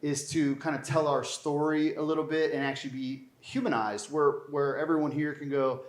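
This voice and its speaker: A man's voice: a little high, steady tone, normal speed. A man interviewing a guest in a casual, informal fireside chat, talking in a loose, rambling conversational way. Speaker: interviewer, host. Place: indoor venue live event. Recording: roomy echo of a live venue.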